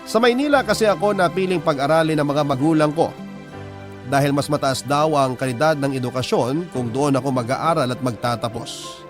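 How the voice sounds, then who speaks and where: A man's voice: a little high, brisk, over music, close to an everyday voice. A man voicing a lovelorn letter sender with a Filipino accent, narrating his love story sincerely for a radio drama. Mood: nostalgic. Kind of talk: scripted first-person radio drama narration.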